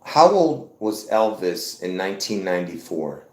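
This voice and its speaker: A man's voice: deep voice, very animated, a little slow, darkish tone. A man in his fifties hosting a live stream, talking in a rambling, insistent way and repeating himself as he addresses viewers as folks. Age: 50s. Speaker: livestream host. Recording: roomy echo.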